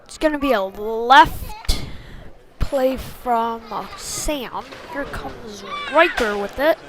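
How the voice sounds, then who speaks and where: A woman's voice: fairly high pitch, animated, slow pace. A woman giving informal live play-by-play of a youth ice hockey game in short, clipped, colloquial phrases. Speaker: play-by-play commentator. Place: sports venue, ice rink. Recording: amateur livestream with faint background noise.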